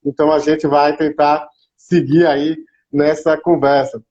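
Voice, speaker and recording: A man's voice: a little high, little pitch movement, a little slow, darkish tone. A man with a Brazilian Portuguese accent hosting a live stream in a casual, chatty, welcoming way. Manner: informal and welcoming, greets viewers by name. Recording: dull lo-fi online stream audio.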